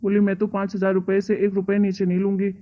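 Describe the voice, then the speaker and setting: A dutiful, timid grown son from a family cartoon, played by a woman. The delivery is soothing and pleading but fairly even in pitch, heard through a thin, phone-like sound. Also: fast, lightly acted. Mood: matter-of-fact. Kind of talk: Hindi cartoon family drama voice acting. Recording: tinny, narrow phone-like sound.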